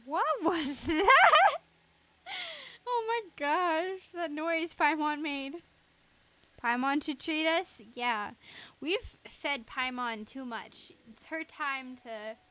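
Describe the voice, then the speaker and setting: A woman's voice: sits high, animated, slow pace, bright, forceful tone, slight background noise. A woman giving casual, giggly, reactive commentary as she plays a game. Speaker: game streamer.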